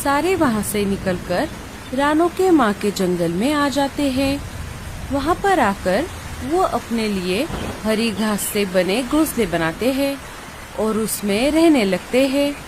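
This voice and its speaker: A woman narrates a children's cartoon story in a fairly high voice. Her scripted delivery is very slow, with clear noise in the background. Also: big pitch swings.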